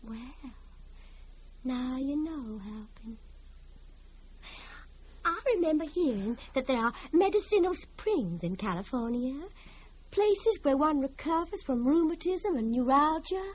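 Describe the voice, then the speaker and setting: A woman's voice: high voice, animated, poor audio. An actress playing a haunted, fragile older mother in an old-time radio drama, theatrical, pleading and unhinged. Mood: plaintive, coaxing. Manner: theatrical, wheedling, drawn-out pleading.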